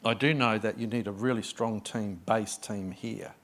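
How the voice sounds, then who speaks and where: A man's voice: fairly low pitch, steady tone, normal speed, a bit bright. A middle-aged Australian man, a guest pastor, preaching a sermon in an effusive, chatty way with personal stories.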